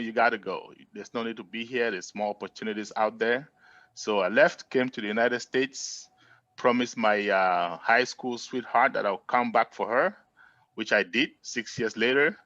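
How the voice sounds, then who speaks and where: A middle-aged man from Cameroon, a guest in a casual interview who tells his story in a friendly, relaxed way with frequent "uh" fillers. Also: medium pitch, no echo, muffled recording.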